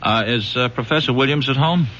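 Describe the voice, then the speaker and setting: An actor playing a wily police detective who feigns friendliness, with a hesitant, folksy, repetitive way of talking. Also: male voice, mid-range voice, fairly quick, very noisy, natural voice. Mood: slyly probing. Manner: hesitant, folksy, repeating himself. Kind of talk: 1970s radio drama acting. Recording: dull, humming radio drama recording.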